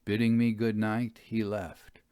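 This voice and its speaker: A man's voice: on the low side, little pitch movement, measured pace, mellow, clear, resonant tone, no room sound, clean studio sound. A man reading a Victorian ghost story aloud as a literary narrator.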